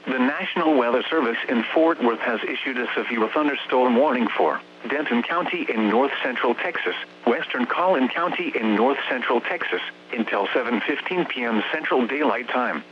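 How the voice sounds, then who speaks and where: A man's voice: mid-range voice, moderate pace, few pauses. A synthetic American man's voice comes through a dull, humming weather radio broadcast. He reads scripted warning bulletins formally and evenly paced, in clipped, automated phrases, with faint noise underneath. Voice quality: synthetic text-to-speech voice.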